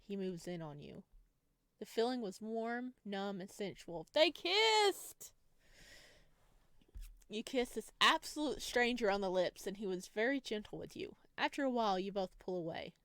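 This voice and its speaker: A woman's voice: mid-range voice, lively intonation, measured pace, a bit bright, dry recording. A woman doing a visual novel let's play, reading the text aloud with giggly, gushing, exclaiming reactions. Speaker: gaming video host.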